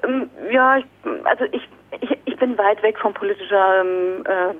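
A woman's voice: medium pitch, normal speed. A woman singer-songwriter talking as an interview guest, hesitant with many fillers and restarts, heard over a phone line. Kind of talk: casual radio interview by phone.

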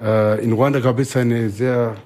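A man from East Africa, a guest musician in a radio interview, speaking German as a learned language, halting and searching for words. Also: medium pitch, level tone, mellow. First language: not German.

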